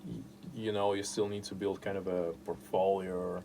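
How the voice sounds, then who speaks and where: Man, non-native russian speaker, somewhat low voice, halting and hesitant, faint background noise. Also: flat delivery. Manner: halting, searching for words. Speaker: podcast host and writer. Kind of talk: casual interview chat.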